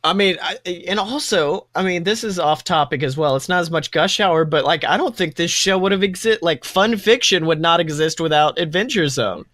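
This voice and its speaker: Gushing, higher-pitched male podcast co-host. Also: animated, normal speed, hardly any pauses, a bit bright, no room sound, clean studio sound. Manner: gushing, enthusiastic. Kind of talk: casual fan chat.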